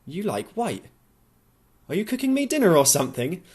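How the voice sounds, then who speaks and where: Man reading as playful young woman, lively intonation. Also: high voice, normal speed, husky, pitched above the performer's own voice, heavily acted. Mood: teasing, puzzled. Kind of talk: audiobook character dialogue.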